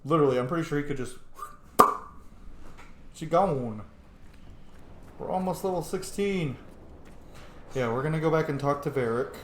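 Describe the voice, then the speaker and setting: A man's voice: fairly high pitch, moderate pace, long pauses. A man giving casual, opinionated commentary as he plays a video game, reacting informally. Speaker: gamer narrating a playthrough. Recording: echoey room, faint game noise behind.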